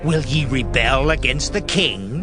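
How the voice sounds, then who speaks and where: A man's voice: mid-range voice, expressive, a little slow, over music, natural voice. A man playing a scornful enemy governor in a dramatized audio Bible, with a taunting, accusing delivery. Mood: mocking, accusing.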